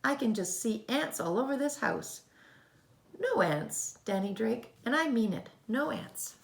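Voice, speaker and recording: Lady reading as a firm but loving mum in a kids book, emphatic, bit of creak. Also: a little high, very animated, measured pace, voice pushed up, exaggerated voice. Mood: scolding, dismayed. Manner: emphatic, scolding or reassuring.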